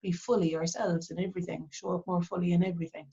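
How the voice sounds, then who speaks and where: A middle-aged woman with an Irish accent from Cork, talking reflectively in an interview and pausing often with fillers and restarts. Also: fairly low pitch, level tone, mellow, husky, dull audio. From Ireland. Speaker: artist, interview guest. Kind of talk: casual interview talk.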